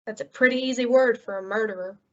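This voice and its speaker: A woman's voice: a little high, fast, fairly bright tone, a little hiss or hum, close to an everyday voice. A woman acting an outspoken, concerned frontier friend in an old-time radio western drama. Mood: concerned, wry. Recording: dull, lo-fi audio.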